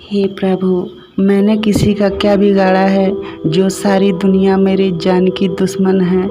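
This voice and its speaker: Mistreated village boy played by a man: high, very even pitch, slow, meek and pleading. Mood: sorrowful pleading. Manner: pleading, plaintive. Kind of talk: Hindi cartoon story dialogue. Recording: music behind the voice.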